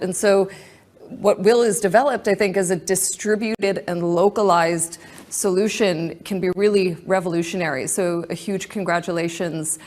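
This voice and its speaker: A woman with a slightly low voice moderates a forum session. She speaks in a smooth, measured way as she wraps up one speaker and brings in the next. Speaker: panel moderator.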